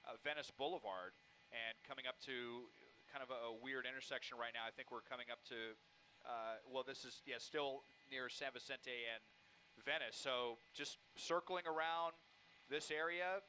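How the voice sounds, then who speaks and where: A man working as a helicopter news reporter, giving halting, improvised live commentary on a police pursuit. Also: medium pitch, steady tone, pushed and bright, a little hiss or hum, rough recording.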